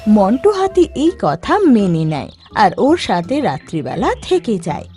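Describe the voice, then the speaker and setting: A female narrator tells a scripted cartoon story. Her voice has a middle pitch and moves with lively intonation, while music plays softly behind her. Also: a little slow. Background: soft background music.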